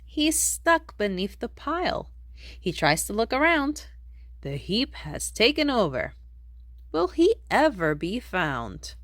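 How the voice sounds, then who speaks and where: A woman reading a rhyming children's picture book aloud in an expressive storytelling manner. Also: medium pitch, no room sound, studio quality. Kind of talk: read-aloud storybook narration in rhyme.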